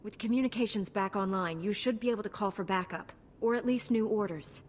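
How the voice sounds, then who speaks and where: An actress playing a composed, blunt female Jedi knight in a video game, calm and matter-of-fact. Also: fairly quick, close to an everyday voice. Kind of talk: scripted video game cutscene acting. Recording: long reverberant hall, faint background rumble.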